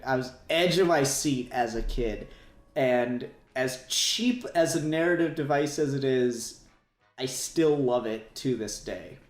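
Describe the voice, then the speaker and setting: A man's voice: medium pitch, darkish tone, roomy sound. A man hosting a casual fan podcast, talking in a rambling, enthusiastic way and swearing casually. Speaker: podcast host.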